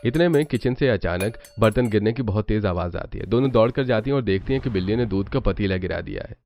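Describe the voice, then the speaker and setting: A man's voice: fairly low pitch, quick pace, a little mellow. A man narrating a scripted cartoon family story. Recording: muffled audio, clear background noise.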